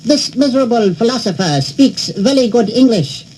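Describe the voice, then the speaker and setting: A man's voice: very high voice, lively intonation, normal speed, a little mellow, a little hiss or hum, low-quality recording. A man voicing a pompous elderly male philosopher in a cartoon, speaking in stilted, clipped broken English.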